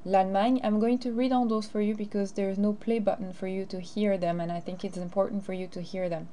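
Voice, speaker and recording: A woman's voice: medium pitch, fairly even intonation, brisk, dark tone. A teacher, a woman, explaining grammar and carefully modeling phrases in a recorded lesson. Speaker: French teacher.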